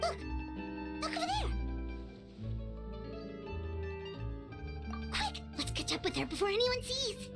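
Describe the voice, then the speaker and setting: A woman voicing a tiny, excitable, childlike fairy companion in an animated video game style, dramatic in manner. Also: very high voice, a little fast, gravelly, music in the background, heavily acted. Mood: excited, urgent. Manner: speaks of herself in third person, dramatic.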